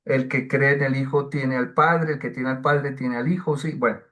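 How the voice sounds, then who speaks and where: A man teaching the Bible, answering questions in an online class, slow and hesitant with many fillers and restarts. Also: medium pitch, steady tone, a bit bright.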